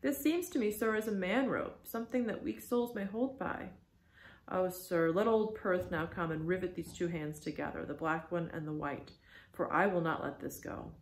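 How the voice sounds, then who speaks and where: A woman voicing a crazed, pitiful young cabin boy who babbles excitedly in riddles. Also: lowish voice, normal speed, close to an everyday voice. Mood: wistful. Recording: echoey room.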